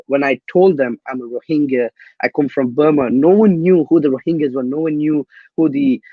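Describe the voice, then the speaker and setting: A young man from Myanmar, a guest activist, answering interview questions earnestly and haltingly, with many restarts. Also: mid-range voice, dry recording, highs cut off. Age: young adult.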